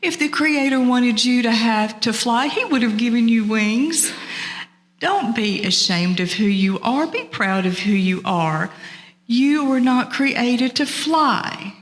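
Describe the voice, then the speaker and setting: A woman's voice: a little high, animated, takes it slowly, voice pushed up. A woman voicing a sensible male eagle who speaks firmly and emphatically, admonishing in an oral folktale. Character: firm, sensible male eagle, turtle's best friend. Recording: long reverberant hall sound.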